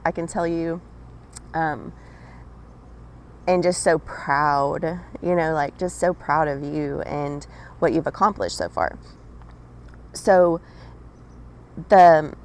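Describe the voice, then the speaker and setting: Raspy, lower-pitched woman channeler, chatty direct-address reading, faint hiss behind. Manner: addresses listener directly, frequent "right?" and "okay?". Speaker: spiritual channeler. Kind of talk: casual spiritual monologue.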